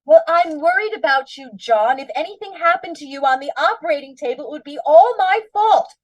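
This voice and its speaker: A woman playing a high, needling radio wife. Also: animated, brisk, distant mic, highs cut off. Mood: nagging. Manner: prim, needling, mock-sweet. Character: nagging, extravagant wife, sweetly needling. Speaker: radio play actress. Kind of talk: 1940s radio comedy acting.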